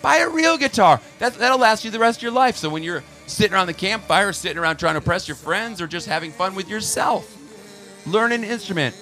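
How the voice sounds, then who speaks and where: A man co-hosting a talk show, bantering and telling jokes in a loose, animated way. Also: high voice, brisk, soft background music. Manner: joking, animated storyteller. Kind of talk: casual banter.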